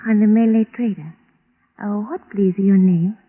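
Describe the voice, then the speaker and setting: An actress playing a curious, polite young trader's daughter working as an office clerk in an old-time radio drama, with formal, careful phrasing. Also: female voice, medium pitch, moderate pace, close to an everyday voice. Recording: tinny, noisy old radio recording.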